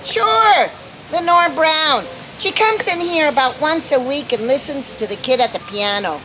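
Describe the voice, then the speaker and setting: A woman's voice: high voice, expressive, a little slow, sharp tone, slight background noise, heavily acted, poor audio. A woman playing an offhand, streetwise barmaid in a radio play, talking in slang. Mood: offhand, gossipy. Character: casual, streetwise woman working in a dive bar. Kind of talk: amateur radio play acting.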